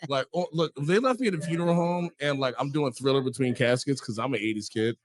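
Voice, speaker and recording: A man's voice: medium pitch, quick pace. A man of forty co-hosting a talk show, chatting casually with a profane, sardonic, comic storytelling manner. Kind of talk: casual comedic chat.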